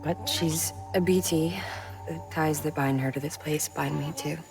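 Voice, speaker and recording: Music plays behind a woman scientist in a game cutscene. Her voice is fairly low and soft-spoken, carrying grief with a wry, caring edge. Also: unhurried, gravelly. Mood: wistful. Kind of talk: video game cutscene acting.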